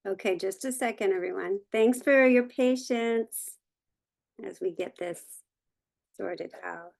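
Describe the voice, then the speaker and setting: A woman presenter on a video call, talking casually and haltingly, half muttering to herself. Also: mid-range voice, creaky, darkish tone. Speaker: webinar presenter. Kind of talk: casual talk while sorting out tech. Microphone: video call microphone.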